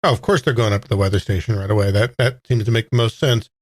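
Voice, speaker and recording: A man's voice: on the low side, fast. An American man improvising casual in-character dialogue as a player in a tabletop game.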